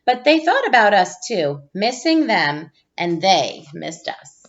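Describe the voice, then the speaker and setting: A woman with a mid-range voice reads a children's storybook aloud as a teacher would. Her delivery is expressive, with certain phrases stretched for emphasis. The recording is a little dull and lo-fi. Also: medium pitch, slow. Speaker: teacher reading aloud to pupils.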